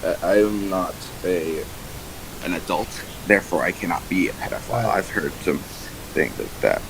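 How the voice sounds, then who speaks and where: A man's voice: sits low, normal speed, creaky, noisy background. A young man talking casually and defensively, insistent and self-justifying, on an archived voice call.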